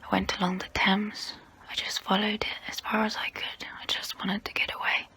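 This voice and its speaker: Casting: ancient female vampire played by a woman for ASMR role play. Breathy whisper, crisp bright edge, unhurried, proud yet wistful. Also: medium pitch, flat delivery, exaggerated voice. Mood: pained, subdued. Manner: slow, intimate, close-up, deliberate pauses.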